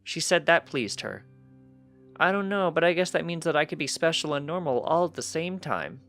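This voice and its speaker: A man's voice: on the high side, expressive, normal speed, fairly bright tone, soft background music, pitched above the performer's own voice. A man voicing a small, precocious winged-unicorn colt in a storybook reading, cheerful, earnest and childlike.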